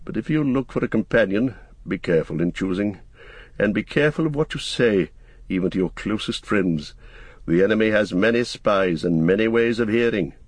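A man voicing a wise old male wizard in a storybook, stern but fond, with an emphatic, commanding, deliberate manner. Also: fairly low pitch, expressive, breathy edge, no room sound. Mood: grave, fond.

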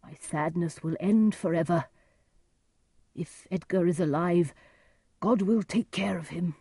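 A woman voicing a blind old nobleman who speaks gravely and emphatically in despair, in a storybook reading. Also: on the low side, measured pace, mellow, no echo, studio quality. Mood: despairing, solemn.

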